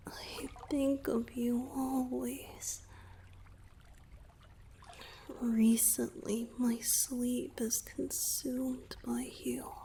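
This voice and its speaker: A woman voicing a lovesick young merman in a romantic ASMR roleplay, with a hesitant, earnest delivery in a breathy whisper. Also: sits high, dark tone, slight background noise, exaggerated voice. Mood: tender, adoring. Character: lovesick young merman, tender, anxious and yearning.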